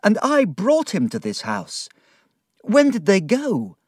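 A man voicing an anxious, shocked young gentleman who exclaims urgently in a told story. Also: sits high, wildly swooping pitch, slow, no room sound, clean studio sound, pitched above the performer's own voice, exaggerated voice. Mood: horrified, urgent.

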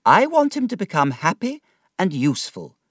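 A man voicing a stern, decisive older woman who talks coldly and firmly, raising his voice high above his own. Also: huge pitch swings, moderate pace, fairly bright tone, dry recording, full character voice. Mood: brisk. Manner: cold, firm and commanding. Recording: dry, distant from the mic.

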